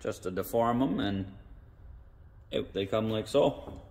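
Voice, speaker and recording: A man's voice: on the low side, takes it slowly, gravelly, big-room sound, heavy background noise. A man casually talking through a carburetor rebuild at his garage workbench, thinking aloud with folksy slang. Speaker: mechanic explaining a carburetor rebuild. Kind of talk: casual hands-on workshop narration. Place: indoor garage workshop at a workbench.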